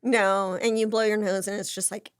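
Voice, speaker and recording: A woman in her thirties chatting casually as a podcast co-host, a little hoarse and stuffed up from a cold, wry and self-mocking. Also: medium pitch, flat delivery, moderate pace, no room sound, studio quality. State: congested from a cold, slightly hoarse. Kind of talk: casual chat.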